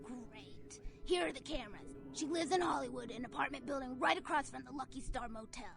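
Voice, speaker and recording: A woman's voice: sits high, fairly quick, gravelly. An actress playing a vain, bitter and commanding female vampire starlet in a video game. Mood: scheming, commanding. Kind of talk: video game character acting. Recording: music behind the voice.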